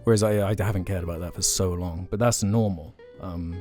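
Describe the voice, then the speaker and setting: A man's voice: low-pitched, steady tone, a little fast, mellow, faint music behind. A young man co-hosting a podcast, chatting casually and reading listener questions aloud. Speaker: podcast co-host.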